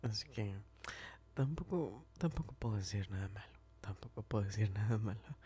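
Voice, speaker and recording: A man playing a smitten, tender and teasing best friend in an ASMR roleplay, speaking softly and hesitantly, often whispering and repeating himself. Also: on the low side, animated, moderate pace. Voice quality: soft, breathy, often whispering. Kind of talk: ASMR roleplay, intimate chat.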